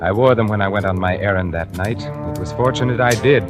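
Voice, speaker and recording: A man playing a refined, condescending painter with a dark secret, in vintage radio suspense style. His delivery is deliberate and theatrical, with prominent music behind it on an old broadcast recording. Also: on the low side, lightly acted. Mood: brooding, sinister. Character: cultured, scheming male painter covering up a murder. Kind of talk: 1940s radio drama acting, first-person suspense narration.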